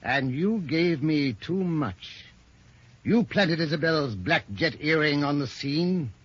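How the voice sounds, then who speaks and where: An actor playing a shrewd, stern veteran police inspector in 1950s radio drama style, authoritative and declamatory. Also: male voice, fairly high pitch, lively intonation, slow pace. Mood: accusing, triumphant. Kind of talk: 1950s American radio crime drama acting. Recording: muffled, lo-fi old radio recording.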